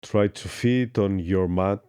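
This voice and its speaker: Very deep, slow male meditation guide. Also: dark tone, smooth, dry recording, studio quality. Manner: slow, soothing instructions with long pauses. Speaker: yoga instructor. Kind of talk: guided yoga nidra meditation.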